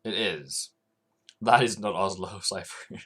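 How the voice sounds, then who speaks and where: A man's voice: deep voice, slow pace, fairly bright tone, slightly rough. Chatty man streaming. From Norway. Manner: rambling, chatty, answers viewer comments aloud. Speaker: forex trading livestreamer. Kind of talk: casual livestream chat.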